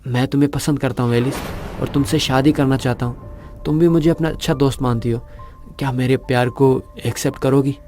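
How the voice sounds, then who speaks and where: A man's voice: mid-range voice, steady tone, fairly quick, a little mellow, natural voice. A man playing an earnest, brooding young suitor in a dramatic audio romance. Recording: music under the voice.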